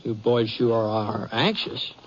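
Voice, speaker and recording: Western radio gunman, male actor: laconic, watchful, unhurried folksy delivery, mid-pitched, on a dull, noisy old recording. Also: lightly acted. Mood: mildly wary. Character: easygoing, watchful western cowboy gunman, adult man.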